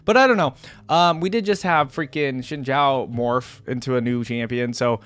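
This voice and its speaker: A man's voice: medium pitch, normal speed, fairly bright tone, clean tone. A man hosting a reaction video, chatting casually to his viewers and rambling as he thinks aloud.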